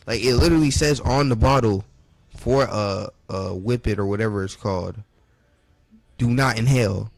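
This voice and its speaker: A man's voice: lowish voice, gravelly. A young man reacting casually to a video, with slangy, profane, repetitive talk.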